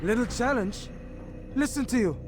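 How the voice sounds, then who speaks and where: An actor playing a sarcastic, contemptuous bureau keeper with a sneering, cutting delivery in a video game. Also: male voice, very high-pitched, lively intonation, moderate pace. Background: game music.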